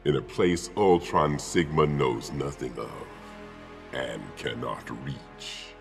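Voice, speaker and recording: A man playing an imprisoned titan warlord in a video game, cold, sly and commanding, with a gravelly, imperious delivery. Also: a bass voice, over music, exaggerated voice. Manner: slow, imperious, deliberate. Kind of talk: video game villain acting.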